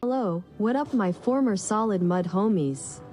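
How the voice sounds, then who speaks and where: A woman's voice: medium pitch, moderate pace, warm tone, faint music behind, lightly acted. A woman playing a brash, boastful, slangy caller in a theatrical comedy sketch. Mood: brash, mock grand.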